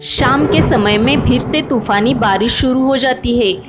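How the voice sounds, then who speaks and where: A woman's voice: on the high side, measured pace, soft background music. A woman reading scripted narration for a children's cartoon moral story.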